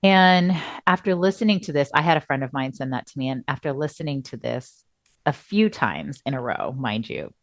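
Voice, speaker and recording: A middle-aged woman with a low voice co-hosts a casual podcast, her voice still slightly worn from a recent cough. She tells stories expressively, acting out what people said. The sound is dry and close, with no room around it. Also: normal speed, dry recording. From Southern California. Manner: expressive storyteller, acts out quotes. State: recovering voice, coughing. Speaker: podcast co-host. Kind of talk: casual chat.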